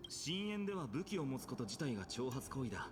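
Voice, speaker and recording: A man's voice: medium pitch, very animated, racing, close to an everyday voice. An actor playing a composed young warrior man, courteous but guarded, in a video game. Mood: measured, guarded. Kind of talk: Japanese game voice acting. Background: game music.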